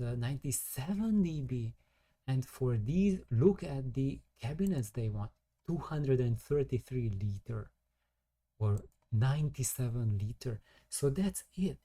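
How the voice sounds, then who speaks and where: A man's voice: medium pitch, warm tone. A man giving an informal technical explanation as a hobbyist presenter, circling back over his phrases and using salty slang. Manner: hesitant, repetitive, circling phrasing; colourful, mildly salty slang.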